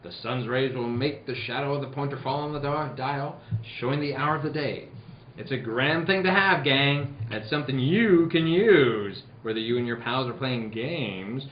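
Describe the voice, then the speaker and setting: A man's voice: mid-range voice, moderate pace. Echoey man hosting and announcing. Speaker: host and announcer. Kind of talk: casual hosting and hammy 1940s radio ad reading. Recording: echoey room, muffled, noticeable noise.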